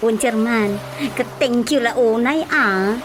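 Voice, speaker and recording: A woman's voice: fairly high pitch, slow, noisy background. An actress playing a plain-spoken, complaining village woman in a radio comedy drama, with an Ilocano Filipino accent. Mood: chatty, complaining.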